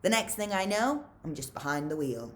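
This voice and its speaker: A woman's voice: low voice, big pitch swings, normal speed. A woman reading the part of a fidgety teenage car thief from a storybook, casual and chatty. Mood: sheepish boasting. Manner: casual, hesitant, colloquial "you know".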